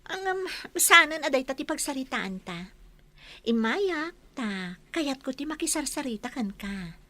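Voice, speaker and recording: A woman's voice: high voice, big pitch swings, measured pace, pushed and bright, full character voice. An actress playing an insistent, coaxing young woman in a radio drama. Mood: coaxing, pushy. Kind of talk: Ilocano radio drama acting.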